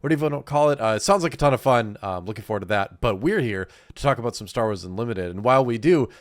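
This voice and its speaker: A man's voice: mid-range voice, expressive, fast, dry recording, studio quality. A man in his thirties co-hosting a casual podcast, nasal and congested from a cold, rambling with fillers and laughs. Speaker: podcast co-host. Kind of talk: casual chat.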